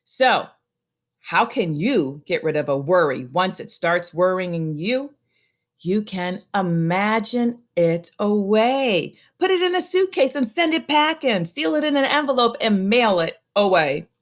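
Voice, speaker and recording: A woman reading a children's picture book aloud as a storyteller, with expressive, deliberate phrasing and drawn-out emphasis on key words. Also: medium pitch.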